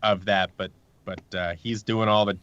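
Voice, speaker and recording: A man's voice: fairly low pitch, fairly even intonation, moderate pace, fairly bright tone, clean tone, no room sound, lo-fi sound. A man talking casually as an interview guest, an audio drama producer who tells stories by acting out the exchanges. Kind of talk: casual chat.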